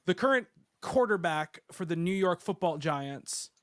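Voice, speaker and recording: A man's voice: sits high, lively intonation, a little slow. A man co-hosting a book club chat, teasing his co-hosts and telling stories playfully. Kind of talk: casual chat.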